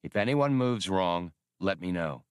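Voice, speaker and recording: A man's voice: low voice, expressive, measured pace, clear, resonant tone, dry recording, studio quality. A man voicing a wry, impatient smuggler starship captain in an audiobook, curt and slangy. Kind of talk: audiobook character acting.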